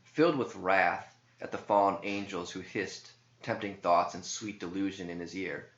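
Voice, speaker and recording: A man reading scripted narrative verse aloud in a measured, deliberate way. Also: low-pitched. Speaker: author reading own verse. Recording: dull, echoey room recording.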